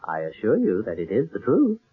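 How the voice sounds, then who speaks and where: A man's voice: on the low side, wildly swooping pitch, a little fast, husky, exaggerated voice. An actor playing a wealthy, evasive man in a 1970s radio mystery, formal and wordy with hesitant stammers, feigning innocence. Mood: defensive. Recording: tinny, band-limited old radio broadcast.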